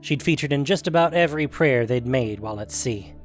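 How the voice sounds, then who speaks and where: A man's voice: mid-range voice, lively intonation, a little fast, over music. A man narrating a scripted fantasy story as the narrator of an audio production.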